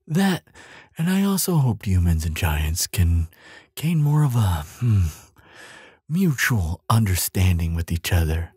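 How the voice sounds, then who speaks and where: Man acting a deep, breathy gentle giant. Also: dry recording, studio quality, full character voice. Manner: tender, unhurried, hesitant murmuring with soft hums and sighs. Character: gentle, affectionate giant, soothing and earnest. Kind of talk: intimate ASMR audio roleplay.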